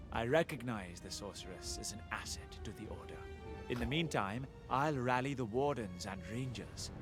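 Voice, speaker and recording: Man playing an earnest commander over clear music. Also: mid-range voice, close to an everyday voice. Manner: measured, formal, concerned. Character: earnest, dutiful military commander of an order. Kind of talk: video game cutscene acting.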